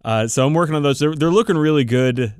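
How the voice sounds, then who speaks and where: A man's voice: a little high, fast talker, smooth, no room sound. Casual, sweary man chatting, dry close sound. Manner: informal, profane, enthusiastic. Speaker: podcast co-host. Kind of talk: casual hobby chat.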